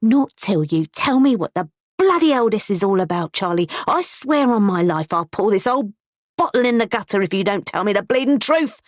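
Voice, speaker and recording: A woman voicing a fierce, sharp-tongued young working-class wife who swears as she scolds. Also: medium pitch, expressive, moderate pace, no echo, voice pushed up, natural voice. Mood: angry.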